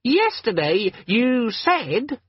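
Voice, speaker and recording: A man voicing a small demon living in a pocket organizer, with a squeaky, cheerful, nagging voice. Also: very animated, drawn-out words, slightly rough, no room sound, muffled recording, heavily acted. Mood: indignant.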